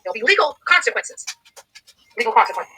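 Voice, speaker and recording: A woman's voice: on the high side, very animated, quick pace, sharp tone, husky, soft background music, rough recording. A woman talking informally as if making a report on a call, rambling and insistent. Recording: faint noise, played-back clip.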